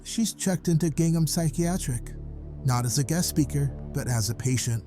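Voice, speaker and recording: A man's voice: on the high side, moderate pace, over music, lightly acted. An actor playing a folksy, wry hospital janitor who calls in and tells his story matter-of-factly in a horror audio drama. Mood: wry, gossipy. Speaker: caller.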